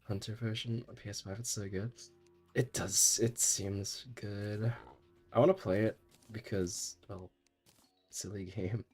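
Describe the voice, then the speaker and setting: A man's voice: lowish voice, steady tone, a little slow, darkish tone, slightly rough, faint music behind. A young man streaming a game while sick, chatting in a rambling way and laughing often. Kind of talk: casual gaming stream chat.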